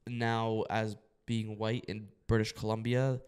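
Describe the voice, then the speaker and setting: A man's voice: lowish voice, flat delivery, a little slow, a little mellow, clear, resonant tone. A young man co-hosting a casual podcast, rambling with lots of fillers and restarts. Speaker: podcast co-host.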